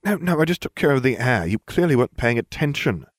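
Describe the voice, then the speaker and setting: Smug, arrogant schoolboy wizard read by a man, haughty, lively lilt, dry close sound. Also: mid-range voice, fast, no room sound, pitched above the performer's own voice. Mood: scheming. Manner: haughty, self-satisfied.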